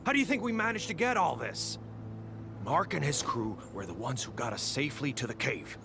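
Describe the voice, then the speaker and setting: A man voice-acting a passionate, principled survivor in a video game, with a gravelly voice over music. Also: medium pitch, sing-song, brisk. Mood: impassioned, indignant. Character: passionate, principled male survivor rallying his comrades. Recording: music behind the voice.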